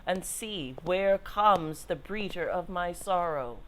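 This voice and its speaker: Slow, low-voiced grieving queen played by an actress. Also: low-quality recording. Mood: forlorn, pleading. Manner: formal, declamatory, deliberate. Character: proud, grieving exiled queen pleading for her son. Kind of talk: Shakespearean stage-style audio drama acting.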